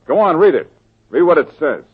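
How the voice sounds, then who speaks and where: A man playing a calm, dry-witted male private detective in a 1940s radio drama, on a tinny old broadcast recording. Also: on the high side, a little fast, slightly rough. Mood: steady.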